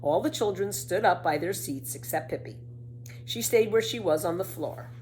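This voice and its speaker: A woman reading a children's story aloud as narrator, in an expressive way. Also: fairly low pitch, normal speed, a little hiss or hum, rough recording. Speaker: storybook reader.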